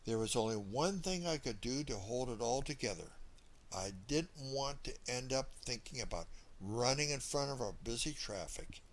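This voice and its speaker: A man's voice: mid-range voice, expressive, normal speed, poor audio. A man narrating a scripted first-person story in a folksy, dramatic storytelling manner. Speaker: audiobook narrator.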